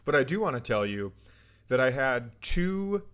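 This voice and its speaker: A man's voice: medium pitch, big pitch swings, a little slow, a bit bright, clean tone. A man hosting a comedy podcast, bantering casually and telling self-deprecating, profane stories.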